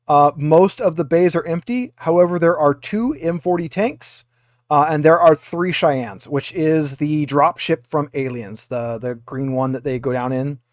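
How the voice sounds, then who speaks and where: A man running a tabletop game as game master, narrating off the cuff in a casual, halting way with stammered repeats. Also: fairly high pitch, no room sound, studio quality.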